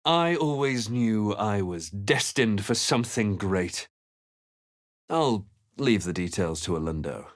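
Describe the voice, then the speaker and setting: A man playing a serious, articulate fantasy hero in a game, with deliberate and grave dramatic delivery. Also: on the low side, lively intonation, no echo, studio quality. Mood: serious, musing. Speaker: player character voice actor.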